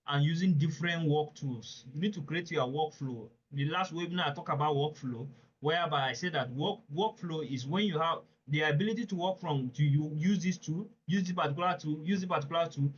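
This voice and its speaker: A man teaches a live online webinar in a somewhat high voice. He talks earnestly and emphatically and often puts questions straight to his listeners. The sound is dull and lo-fi, like audio from an online call. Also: slightly rough. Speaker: webinar presenter.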